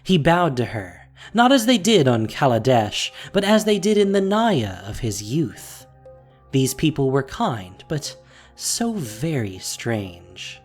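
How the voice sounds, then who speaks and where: A woman narrating a fantasy audio drama in an expressive, scripted storytelling style. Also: extremely low pitch, slow, soft background music.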